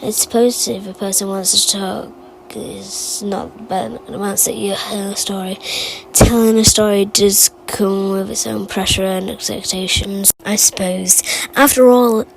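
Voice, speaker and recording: A woman voicing a rambling, forgetful game storyteller, halting and digressive, stammering as the tale wanders. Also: medium pitch, fairly even intonation, low-quality recording. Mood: musing. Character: rambling, forgetful storyteller who muses about odd small memories. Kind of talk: fan recital of game character voice lines. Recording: amateur recording with clear background noise.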